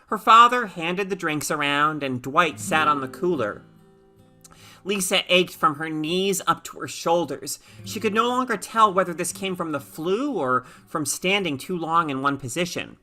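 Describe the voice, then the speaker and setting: A woman reading a short story aloud as an expressive, dramatic narrator. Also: lowish voice, moderate pace, faint music behind. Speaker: story reader.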